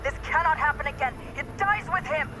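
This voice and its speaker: An actress playing a hard-line female commander in a game cutscene, giving urgent, forceful orders. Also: high voice, brisk, over music, close to an everyday voice. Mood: commanding. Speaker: commanding officer.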